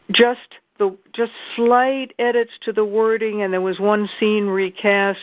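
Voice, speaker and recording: A woman's voice: medium pitch, no room sound. A woman literary scholar talking as a guest. She explains in detail and sometimes corrects herself mid-sentence. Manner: knowledgeable, explaining in detail with occasional self-corrections. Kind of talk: casual discussion. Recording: tinny phone-like call audio.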